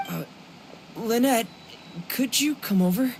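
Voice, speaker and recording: A woman's voice: on the high side, a little hiss or hum, rough recording. A woman voicing a shy, awkward, hesitant teenage boy in a video game. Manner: halting, awkward.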